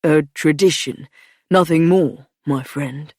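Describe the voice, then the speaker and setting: A short male head cook fusses over his guests with eager, soothing flattery, as a woman narrator puts him on. The voice sits lower and a touch louder, with a dry, close sound. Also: measured pace, no echo.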